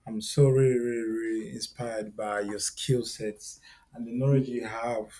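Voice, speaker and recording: A man's voice: medium pitch, level tone, unhurried, warm tone. A middle-aged man from Uganda, talking earnestly and directly to viewers as a course instructor, often repeating himself. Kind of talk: direct-to-camera course talk.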